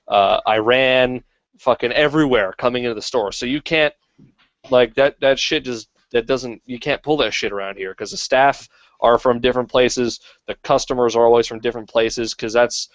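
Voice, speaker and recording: A man's voice: mid-range voice, steady tone, moderate pace, no echo. Blunt swearing man, dry lo-fi sound. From Toronto area. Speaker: co-host. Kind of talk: casual podcast chat.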